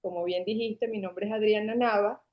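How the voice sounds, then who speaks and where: A woman's voice: mid-range voice, steady tone, moderate pace. A woman from Caracas with a Venezuelan accent, in her forties, telling her story at length as an interview guest. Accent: Venezuelan Spanish from Caracas. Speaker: guest, marketing agency founder. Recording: dull lo-fi sound.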